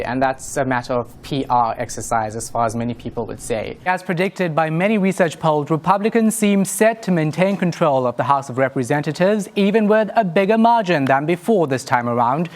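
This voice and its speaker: A man anchoring and reporting the news in a crisp, measured broadcast delivery. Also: a little high. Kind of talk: scripted news presenting.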